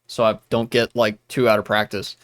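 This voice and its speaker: A man's voice: lowish voice, level tone, moderate pace, fairly bright tone, no room sound, studio quality. A young man streaming while gaming, talking casually with many restarts and blunt asides.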